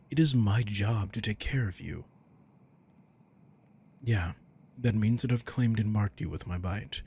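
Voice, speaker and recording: A man's voice: on the low side, creaky, a little mellow, a little hiss or hum. A man playing a caring vampire king in an intimate roleplay audio, speaking in a casual, teasing, protective way. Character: commanding but caring male vampire king.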